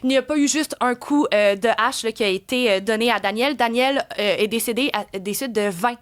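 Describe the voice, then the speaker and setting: A young woman with a Québécois accent tells a true crime story in a radio studio. Her voice sits high. She is playful and lively, and she lowers her voice for the darker details. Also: fairly quick. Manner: lively, playful storyteller who drops to a hushed tone for grim details.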